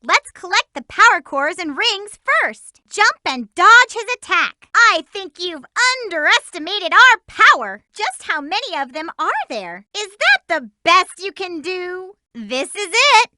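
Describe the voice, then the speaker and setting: A woman's voice: extremely high pitch, very animated, measured pace, few pauses, bright, forceful tone, exaggerated voice. A woman voicing a peppy, eager young female hedgehog heroine for a video game, giving upbeat instructions to her team. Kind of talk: game voice clips.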